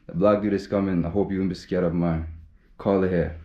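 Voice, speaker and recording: An upbeat young man talks straight into a camera held at arm's length, with a slightly low voice. He swings from loud hype to quiet mumbling asides. The small room around him rings with echo. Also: little pitch movement, a little fast, highs cut off. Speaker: vlogger. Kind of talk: casual vlog talk to camera.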